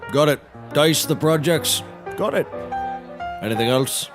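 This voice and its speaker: A man's voice: a little high, measured pace, music in the background, natural voice. A man playing a half-hearted handyman turned lawyer in a scripted audio comedy, matter-of-fact and dismissive. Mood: deadpan, dismissive.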